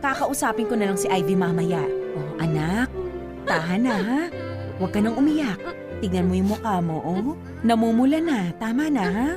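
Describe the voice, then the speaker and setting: A woman playing a concerned mother in her sixties in a radio drama, speaking in a gentle, calming, motherly way. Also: mid-range voice, moderate pace, music in the background, natural voice. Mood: soothing.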